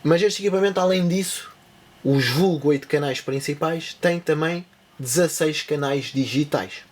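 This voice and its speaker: A man's voice: a little high, normal speed. Rambling European Portuguese man explaining gear. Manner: rambling, with many restarts and asides. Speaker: tech channel presenter. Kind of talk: casual spoken tutorial.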